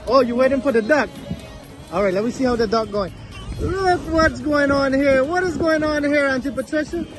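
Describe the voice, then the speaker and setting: A man with a Guyanese accent, speaking high for a man, chats and teases his way through a family beach outing as he films on a phone. Music plays behind him and a crowd chatters around. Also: moderate pace. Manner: jokey, teasing, laughs often. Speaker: vlog host. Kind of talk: casual family vlog chat. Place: sandy beach outdoors. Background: background music and crowd chatter.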